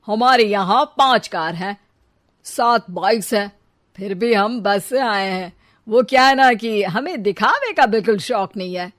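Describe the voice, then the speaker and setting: A woman's voice: mid-range voice, animated, unhurried, a bit bright, dry recording. A woman voicing a boastful young male groom in a comic story, blustering and stammering out excuses. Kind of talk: animated storybook comedy acting.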